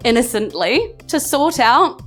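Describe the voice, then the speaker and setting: High-voiced New Zealand woman narrating. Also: big pitch swings, a little slow. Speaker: game master. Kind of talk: narrating a live tabletop game. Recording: music under a live stage recording.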